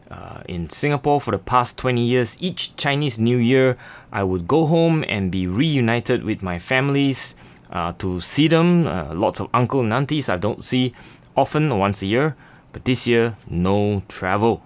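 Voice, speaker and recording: A middle-aged man from Malaysia, talking casually through a sketching and watercolor tutorial with many fillers and pauses. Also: on the low side. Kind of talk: relaxed tutorial narration.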